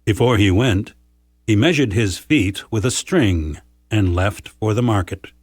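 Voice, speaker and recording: A man narrating folktales from a script for learners, with measured, careful enunciation. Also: lowish voice, breathy edge, no echo, studio quality.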